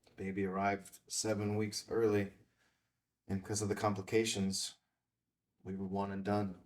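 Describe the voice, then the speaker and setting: Guarded, thoughtful male vet, read by an actor, lowish voice, a little off-mic. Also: level tone, moderate pace, distant mic, close to an everyday voice. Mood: guarded, candid. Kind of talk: screenplay table read.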